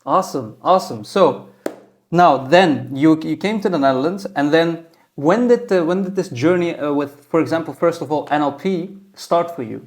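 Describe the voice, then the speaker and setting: A man's voice: on the high side, moderate pace. A man from Belgium hosting a casual interview, eager and rambling, filling his talk with "like" and "yeah". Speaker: podcast host.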